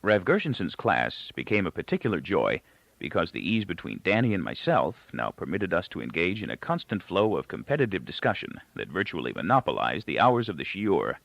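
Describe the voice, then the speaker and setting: A dull, dry, close recording of a man narrating a novel. His voice sits low and has a gravelly creak, and his intonation swings very widely as he tells the story. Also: sing-song, brisk, fairly bright tone, no echo. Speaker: audiobook reader. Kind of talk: scripted literary narration with character dialogue.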